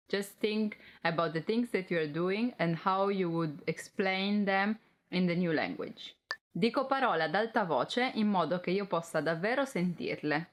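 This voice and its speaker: A young woman with an Italian accent, a native Italian speaker, giving a casual, chatty language lesson. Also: fairly low pitch. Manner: relaxed, chatty, mixes Italian phrases with English explanations. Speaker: language teacher.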